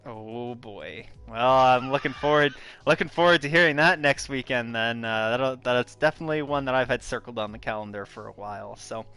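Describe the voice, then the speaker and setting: A male esports commentator closing out a racing broadcast. His voice is smooth and resonant, and he pads his lines with hesitant fillers as he thanks viewers. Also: medium pitch, normal speed, hardly any pauses, pushed and bright, soft background music. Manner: hesitant, filler-heavy, friendly sign-off delivery. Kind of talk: broadcast race commentary wrap-up.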